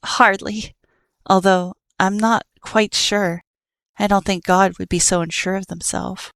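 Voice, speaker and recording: A woman voicing a patient, sorrowful child who sees everything and speaks softly and earnestly. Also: mid-range voice, steady tone, a little slow, gravelly, no room sound. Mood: calm. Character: calm all-seeing child, sorrowful and patient.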